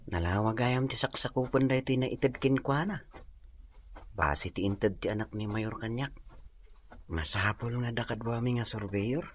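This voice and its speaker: A man's voice: medium pitch, a little hiss or hum, close to an everyday voice. A man acting a plain-spoken young village nephew with an Ilocano accent in a radio drama.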